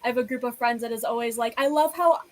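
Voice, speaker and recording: A woman's voice: on the high side, fast talker. Chatty eighteen-year-old woman. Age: teens. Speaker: guest. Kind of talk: casual group chat.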